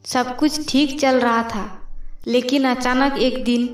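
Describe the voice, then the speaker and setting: Female storyteller for cartoon fairy tales. Highish, flat delivery, echoey recording with music behind. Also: takes it slowly. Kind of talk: scripted narration of a moral fairy tale cartoon. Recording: roomy, distant voice over music.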